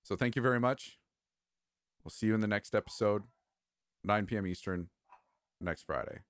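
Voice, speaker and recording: A man's voice: lowish voice, moderate pace, no room sound. A man hosting a podcast, chatting casually in a laid-back, joking way. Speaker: podcast host.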